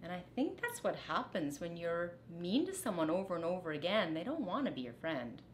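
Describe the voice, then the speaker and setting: A middle-aged woman reading a children's picture book aloud deliberately and expressively in a living room. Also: lowish voice, big pitch swings, roomy sound, a little hiss or hum. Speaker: storyteller, teacher. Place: living room, indoors on a couch.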